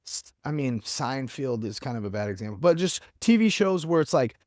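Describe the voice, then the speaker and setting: A man's voice: medium pitch, big pitch swings, a little fast, a little mellow, no echo, clean studio sound. A man hosting a casual podcast, rambling off on tangents and filling his talk with "like" and "you know". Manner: rambling, tangents, filler-heavy. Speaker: podcast host.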